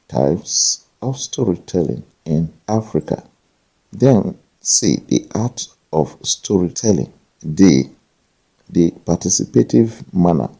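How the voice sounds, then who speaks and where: A man with a husky, gravelly voice reading a scripted outline aloud as a contributor. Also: sits low, mellow, rough recording. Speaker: contributor answering a question on African studies. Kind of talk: scripted outline read aloud, lettered points.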